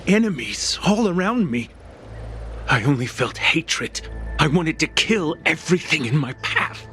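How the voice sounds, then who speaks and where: An actor playing a shaken, hate-filled soldier in a video game, intense and emotional. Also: male voice, fairly high pitch, lively intonation, measured pace, pushed and bright, soft background music. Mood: haunted hatred. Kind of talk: dramatic video game voice acting.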